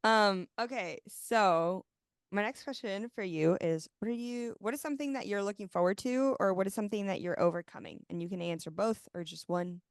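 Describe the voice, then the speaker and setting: A woman's voice: mid-range voice, normal speed, smooth, dry recording, clean studio sound. A woman hosting a podcast, chatting casually and reflectively with many fillers. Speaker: podcast host. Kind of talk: casual interview chat.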